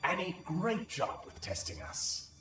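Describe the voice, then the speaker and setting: An actor voicing a prim, courteous, anxious anomaly in a video game, speaking in formal and polite phrases. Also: male voice, high-pitched, huge pitch swings, over music, exaggerated voice. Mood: approving. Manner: formal, polite, measured wording. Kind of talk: video game character acting. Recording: roomy echo, slightly distant.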